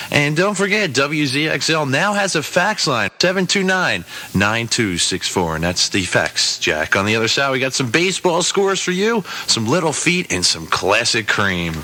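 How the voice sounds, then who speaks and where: A man's voice: medium pitch, sharp tone. An American man working as a rock radio DJ, delivering punchy, upbeat announcer patter on a hissy old aircheck tape. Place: radio studio.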